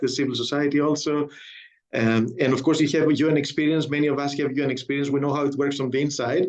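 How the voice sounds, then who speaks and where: A man's voice: medium pitch, lo-fi sound. A man moderating an online discussion, who politely invites participants to take the floor. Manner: polite, gently inviting others to speak. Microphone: video call.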